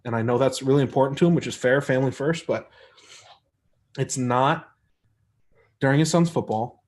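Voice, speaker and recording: A man hosting a casual live stream, reading viewer comments and answering them in an easygoing, rambling way with a soft voice. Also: medium pitch. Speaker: arm wrestler hosting a live chat stream.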